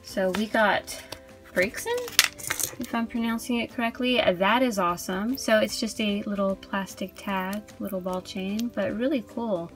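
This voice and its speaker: A young woman hosts a toy unboxing in a casual, chatty style. Her mid-pitched voice is chirpy and gushes over cute things. Soft music plays faintly behind her as she talks. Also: fairly even intonation, moderate pace. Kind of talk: casual unboxing review chat. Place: indoors at a tabletop display. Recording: background music under voice.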